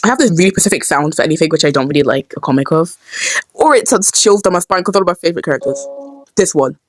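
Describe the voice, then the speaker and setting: Woman, low pitch, dry sound, casual creator chat, rambling, playful muttering. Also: animated, quick pace, no echo. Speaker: video creator making comic dubs. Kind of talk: casual behind-the-scenes chat.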